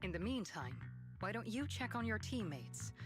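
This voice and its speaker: A voice actor playing an earnest, dutiful military squad member in a game, speaking in a solemn, measured way. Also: medium pitch, big pitch swings, over music. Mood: earnest, resolute.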